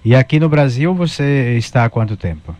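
A man's voice: medium pitch, animated, fairly quick, darkish tone. A man with a Brazilian accent, a priest hosting a radio interview, guiding the guest with friendly prompts and tag questions. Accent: Brazilian Portuguese.

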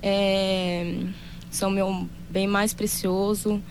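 A woman from Brazil giving a soft-spoken, halting and heartfelt personal testimony. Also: mid-range voice, level tone, slight background noise, poor audio.

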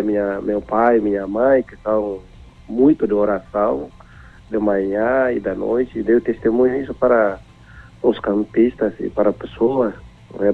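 A man. He talks slowly and haltingly, his voice gravelly with fry. He comes through a narrow, tinny phone line. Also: fairly low pitch. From Timor-Leste. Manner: halting, searching for words, chuckling. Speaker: priest and phone-in guest. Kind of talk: phone interview.

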